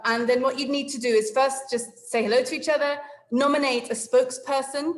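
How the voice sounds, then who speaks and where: A woman's voice: high-pitched, fairly bright tone. A woman from England with an English accent, politely guiding a video call meeting and giving instructions. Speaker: webinar facilitator. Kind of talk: online meeting facilitation.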